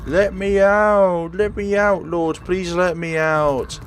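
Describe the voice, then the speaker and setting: A man's voice: high voice, steady tone, slow pace, a little hiss or hum, voice pushed up. A man voicing a terrified young woman trapped in a haunted mansion in a horror game, pleading in panic. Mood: desperate.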